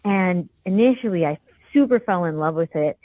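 A woman's voice: lowish voice, expressive, moderate pace, no room sound. Woman caller, from Venezuela, native Spanish speaker, casual chat, muffled lo-fi call audio, dry.

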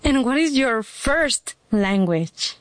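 A woman's voice: a little high, expressive, slow pace, dry recording. A woman co-hosting and interviewing on a student podcast, enthusiastic, with short questions. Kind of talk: scripted presenting and interviewing. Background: music.

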